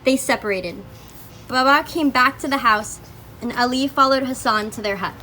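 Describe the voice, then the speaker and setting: A woman's voice: sits high, moderate pace, bright, forceful tone. A woman giving a scripted dramatic reading as a readers' theatre narrator. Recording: echoey room with faint noise.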